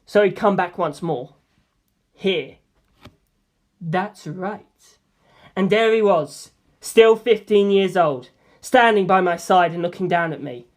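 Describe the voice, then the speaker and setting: A woman with a fairly low voice reads fiction aloud. Her delivery is scripted first-person storytelling, in the manner of an amateur audiobook. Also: takes it slowly, pauses often.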